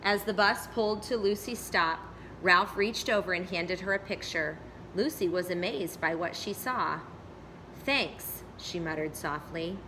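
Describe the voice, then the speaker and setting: A woman storyteller reading a children's story aloud in an expressive narrating style. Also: fairly low pitch, moderate pace, a little hiss or hum, poor audio.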